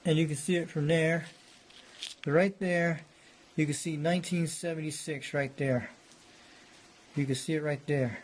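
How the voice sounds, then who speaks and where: Male hobbyist presenter, slightly high voice, casual rambling repetitive show-and-tell delivery, faint background noise. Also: steady tone, measured pace, pauses often.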